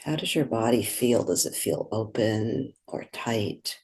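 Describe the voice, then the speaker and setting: A meditation guide speaking in a gentle, soothing, unhurried way, giving slow instructions with long pauses. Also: mid-range voice, expressive, husky.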